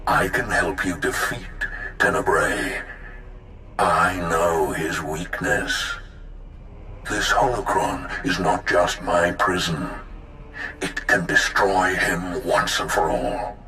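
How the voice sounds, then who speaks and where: Casting: imprisoned ancient Sith spirit, very low and measured, imperious, bitter, grandiose game performance. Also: male voice, slight background noise, heavily acted. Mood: commanding. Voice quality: deep, forceful. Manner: grandiose, slow, deliberate. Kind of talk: dramatic video game acting. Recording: echoing, with music underneath.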